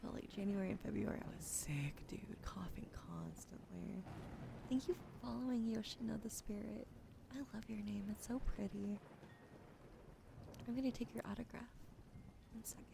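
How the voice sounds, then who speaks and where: A woman livestreaming ASMR in a soft, breathy whisper and hushed casual chat, with a slightly weak, wheezy throat, speaking gently to her viewers up close to the mic. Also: medium pitch, normal speed, noisy background. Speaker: streamer hosting a live ASMR broadcast.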